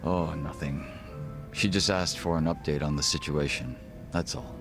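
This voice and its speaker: An actor playing a composed, formal gangster second-in-command in a video game cutscene, measured and reserved. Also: male voice, a bass voice, music in the background. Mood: calm, formal.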